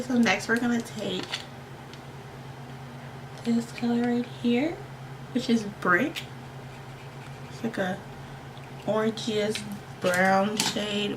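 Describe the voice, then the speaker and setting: A congested, slightly tired woman hosting a makeup tutorial, chatting casually and playfully in slang, often repeating words for emphasis. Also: mid-range voice. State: tired, under the weather with allergies. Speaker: beauty vlogger and makeup tutorial host. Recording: clearly noisy home recording.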